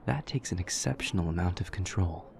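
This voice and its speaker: Man playing a deep, gravelly, formal demon student. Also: expressive. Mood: admiring, precise. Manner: stiff, precise, literal, measured formal diction. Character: awkward, formal, earnest male demon student with horns and tail. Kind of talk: audio roleplay, scripted monologue to a listener.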